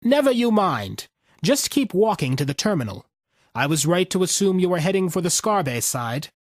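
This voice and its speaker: A man voicing a sardonic, battle-weary veteran with a deliberate, commanding manner in an audiobook. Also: sits high, sing-song, no echo, studio quality, voice pushed up.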